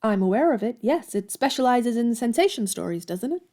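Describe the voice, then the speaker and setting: A woman's voice: fairly high pitch, expressive, fairly quick, dark tone. An actress playing a proud, earnest young woman writer in a period audio drama, speaking formally and politely. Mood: defensive.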